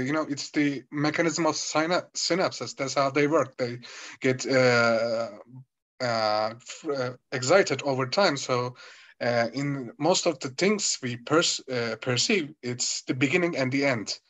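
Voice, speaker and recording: A male student giving a hesitant answer in class, with many pauses and fillers. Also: mid-range voice, a bit bright.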